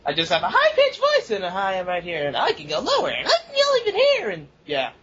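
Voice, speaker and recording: A man's voice: sits high, wildly swooping pitch, quick pace, a bit bright, breathy edge. A young man co-hosting a casual podcast, rambling, swearing and laughing often. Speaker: podcast co-host. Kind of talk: casual chat. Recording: dull lo-fi recording, faint noise.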